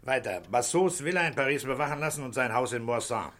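An actor playing a composed, authoritative police chief in a radio crime drama, questioning and ordering in a terse, commanding way. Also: male voice, medium pitch, animated, fast, a bit bright, close to an everyday voice. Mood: brisk, commanding.

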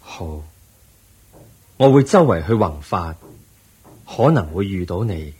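An actor playing the Buddha in a radio drama, a calm, patient teacher with a husky voice and a measured, instructive manner. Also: male voice, sits low, heavily acted. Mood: kindly, instructive. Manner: measured, preaching, gently instructive. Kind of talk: Cantonese radio drama acting.

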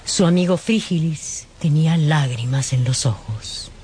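A man's voice: on the high side, measured pace, a little mellow, a little hiss or hum. A man narrating a scripted radio drama as a storyteller.

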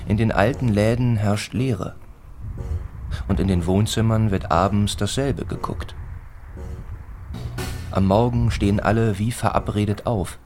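A man narrating a literary radio play in a deliberate, rhythmic way, with repeated phrases, as a stern teller of a bleak village tale. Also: fairly low pitch, steady tone, darkish tone, soft background music, close to an everyday voice. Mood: bleak, sober.

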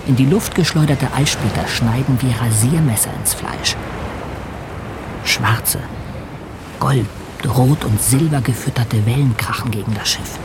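A man's voice: medium pitch, close to an everyday voice. Grave ship's captain, played by a man, noisy sea ambience. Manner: solemn, literary, measured delivery. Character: grave, questioning male captain of an Arctic expedition ship. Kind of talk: German radio drama acting and narration.

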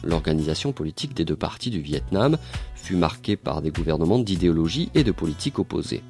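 A man narrating a documentary in a measured, informative way and asking interview questions. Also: sits low, sing-song, darkish tone, soft background music.

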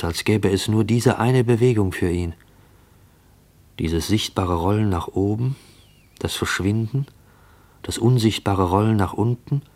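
An actor narrating a sombre radio play in a measured, literary way. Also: male voice, on the low side. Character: observant male narrator of a sombre story.

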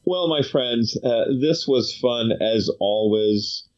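Male podcast host, casual rambling storyteller, mid pitch, dull lo-fi audio. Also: slow pace. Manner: chatty storytelling, many fillers. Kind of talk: casual chat.

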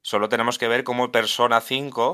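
A man's voice: medium pitch, level tone, brisk, sharp tone. A man from Asturias with an Asturian Spanish accent, a video game translator chatting on a podcast and often restarting his sentences as he thinks. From Asturias, Spain. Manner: thoughtful, many restarts and self-corrections. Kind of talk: casual podcast conversation.

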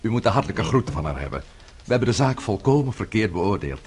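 An actor playing an anxious, devoted husband in a radio play, concerned and a little hesitant, with a husky voice. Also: male voice, fairly low pitch, big pitch swings, quick pace, darkish tone. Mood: reassuring. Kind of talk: radio play acting in Dutch.

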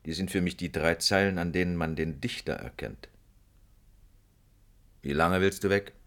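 An actor playing a proud older professor, speaking with self-assured authority in radio play dialogue. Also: male voice, sits low, normal speed, fairly bright tone. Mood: regretful, authoritative. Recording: music under studio radio drama. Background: music.

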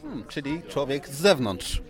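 A male podcast host with a medium-pitched voice chats casually with his guests. He puts questions in a teasing, tongue-in-cheek way. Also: big pitch swings, measured pace, a crowd in the background.

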